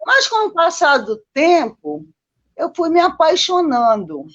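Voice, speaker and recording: A woman's voice: very high-pitched, big pitch swings, unhurried, a bit bright, lo-fi sound. A Brazilian woman chatting casually as a guest, telling personal stories and often checking for agreement. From born in Manaus, lived mostly in Minas Gerais, Brazil. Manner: chatty, constantly seeking agreement with tag questions. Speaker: guest, Copacabana resident.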